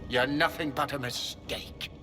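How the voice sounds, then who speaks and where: A man's voice: mid-range voice, steady tone, measured pace, natural voice. An actor playing a zealous, embittered villainous mage and father in a fantasy video game, grandiose and declamatory. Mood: contemptuous. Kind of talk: theatrical game cutscene dialogue. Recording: echoing hall reverb with faint music.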